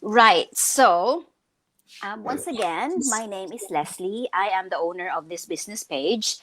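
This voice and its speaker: A woman's voice: mid-range voice, expressive, a little slow, fairly bright tone, poor audio. A woman from the Philippines co-hosting a casual online business chat, chatty and upbeat with frequent fillers and restarts. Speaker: co-host. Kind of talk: casual live-stream chat.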